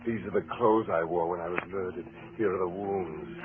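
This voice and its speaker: An actor playing a coldly vengeful husband posing as a ghost in a 1940s radio mystery, measured, sardonic and taunting. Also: male voice, deep voice, lightly acted. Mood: eerie, ominous. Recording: noisy narrowband vintage radio recording.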